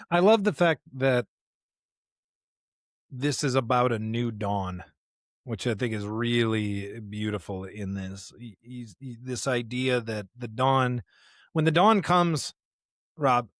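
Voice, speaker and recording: An American man with a mid-pitched voice talks as a podcast co-host. He is earnest and reflective, leaning into preacherly rhetorical questions. The sound is close and dry. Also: slow, pauses often, no room sound. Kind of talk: conversational discussion of scripture.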